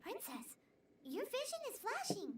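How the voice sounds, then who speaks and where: A woman voicing a tiny, brash, childlike fairy companion with a squeaky voice in a video game. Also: wildly swooping pitch, unhurried, reverberant, far from the mic, a little hiss or hum, heavily acted. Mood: blunt, puzzled. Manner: speaks of self in third person.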